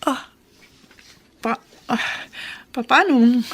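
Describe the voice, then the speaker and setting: A woman's voice: high-pitched, slow pace, slightly rough, heavily acted. A Filipino woman acting a flustered middle-aged aunt in a radio drama, stuttering and hesitant. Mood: flustered, alarmed.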